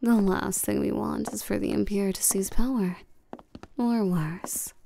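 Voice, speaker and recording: A woman playing a regal, seductive and domineering vampire queen in a scripted roleplay audio drama, coaxing and commanding the listener with soft menace. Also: medium pitch, steady tone, unhurried, vocal fry, slight background noise. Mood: smooth, probing.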